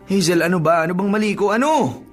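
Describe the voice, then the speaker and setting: A man's voice: high-pitched, lively intonation, fast, soft background music. An actor playing a bewildered young man, earnest and questioning, in Filipino radio drama style. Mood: confused, indignant. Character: bewildered young man confronted by a lovesick friend.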